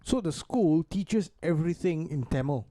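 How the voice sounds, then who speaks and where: A man's voice: fairly high pitch, lively intonation, moderate pace, dark tone, no echo. A man co-hosting a podcast, joking in casual chat and stumbling over words. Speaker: podcast co-host.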